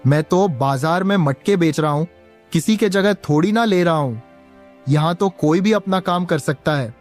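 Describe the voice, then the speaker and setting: A man's voice: fairly high pitch, moderate pace, faint music behind, lightly acted. A man voicing a poor, patient village pot seller and father in a cartoon, earnest and plaintive. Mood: protesting. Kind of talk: Hindi cartoon story dialogue.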